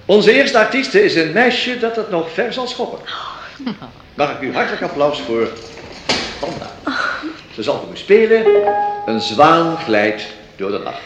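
A formal recital announcer. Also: male voice, fairly high pitch, big pitch swings, a little slow, long pauses, loud music behind the voice. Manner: polite, formal, ceremonious. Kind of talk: radio drama acting. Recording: echoey hall, noisy.

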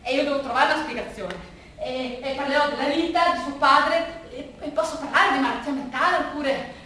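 High nervous stammery art restorer played by a woman, stage monologue, super echoey and far from mic. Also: bright, forceful tone. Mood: anxious, bewildered. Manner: halting, stammering, repeating words. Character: flustered, stammering church fresco restorer called Gordon. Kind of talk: theatrical monologue for radio, narrating and acting. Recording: echoey room, distant mic, faint noise.

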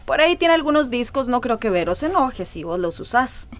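An actress playing a worried, welcoming middle-aged mother in a radio drama, with a Costa Rican accent and a soft-spoken manner. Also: on the high side, sing-song, a little fast. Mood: kind, worried.

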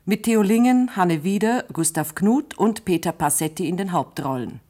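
A woman's voice: lowish voice, animated, normal speed, mellow. A woman reading a scripted announcement in the style of 1960s radio drama credits.

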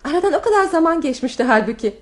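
A woman acting in a radio play as a wary, probing housewife with an expressive manner. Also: high voice, very fast. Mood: pleased, curious. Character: housewife, wary wife and mother of twins. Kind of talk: Turkish radio theatre acting.

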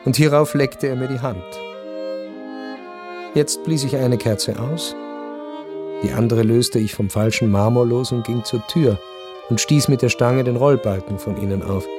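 An actor playing a melancholy aristocrat who narrates a literary radio drama in a brooding, deliberate way. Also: male voice, mid-range voice, natural voice. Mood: desolate. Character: melancholy Viennese aristocrat recounting his lonely last night. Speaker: first-person narrator. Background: string music.

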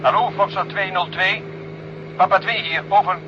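A man's voice: high-pitched, fairly even intonation, normal speed. An actor playing a curt, insistent man giving orders over a radio link in a 1970 radio drama. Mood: official. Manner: clipped, official radio procedure. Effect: radio transmission. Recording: dull, noisy two-way radio sound.

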